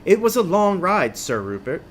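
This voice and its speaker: Storybook English knight, played by a male reader, stately and declaiming, pitch lifting, noisy background. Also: big pitch swings, moderate pace.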